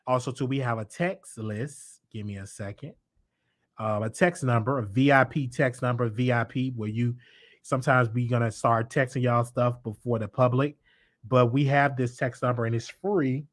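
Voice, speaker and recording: A man's voice: mid-range voice, normal speed, no echo. A man in his thirties with a Southern US accent, casually chatting with viewers as host of a live stream, laughing often and repeating himself. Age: 30s.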